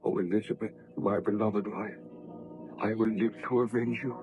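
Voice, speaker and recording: An actor playing a tormented, remorseful baron scientist in a 1930s radio drama, theatrical and fervent, on a noisy old recording. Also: male voice, on the low side, fairly even intonation, narrow, tinny audio, close to an everyday voice. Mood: grieving, vengeful. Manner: theatrical, fervent, drawn-out phrasing.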